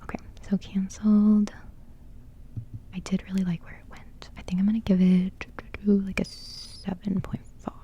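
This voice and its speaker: A young woman whispering a relaxed music reaction in ASMR style, giggling softly and rambling with plenty of fillers. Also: medium pitch, moderate pace. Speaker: ASMR creator reviewing music.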